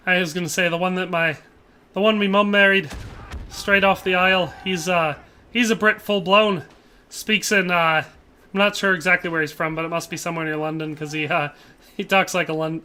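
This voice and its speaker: A man's voice: fairly high pitch, steady tone, normal speed, sharp tone, clean tone. A man streaming a shooter live, chatting jokily, reading chat and laughing often. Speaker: game streamer.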